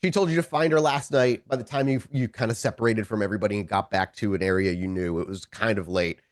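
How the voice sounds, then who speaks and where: A man acting as game master, narrating an improvised tabletop story in a casual, descriptive way with animated asides. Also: lowish voice, quick pace, dry recording.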